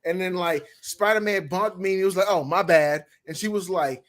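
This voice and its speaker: A man's voice: sits high, moderate pace, a bit bright. A man chatting casually as a guest reviewer, blunt, profane and joking in an insistent way. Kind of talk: casual chat.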